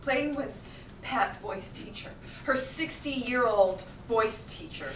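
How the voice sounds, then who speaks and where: Actress as distraught, brittle mother, slow and high, stage drama. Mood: uneasy, evasive. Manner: emphatic, brittle. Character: distraught mother, defensive and in denial. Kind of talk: staged play reading. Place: theatre stage, indoors. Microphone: far camera. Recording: distant, echoey stage, faint rumble.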